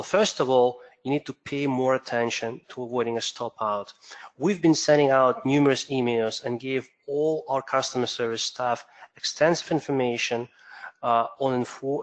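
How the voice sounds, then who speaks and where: A man presenting a trading webinar, explaining steadily, with occasional fillers and self-corrections. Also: medium pitch. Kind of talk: instructional webinar talk.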